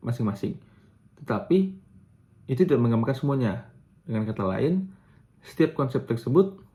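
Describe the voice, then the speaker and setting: A young man giving a casual explanatory lesson, pausing with fillers and correcting himself as he goes. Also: mid-range voice, warm tone. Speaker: student presenter.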